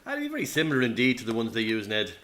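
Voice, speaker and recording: A man's voice: medium pitch, wildly swooping pitch, very fast, a bit bright. A male actor plays an Irish military bureau official in a radio play. His voice sits in the middle range and his delivery is polite, orderly and businesslike, with slight noise and clicks in the background. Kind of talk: radio play acting, formal conversation.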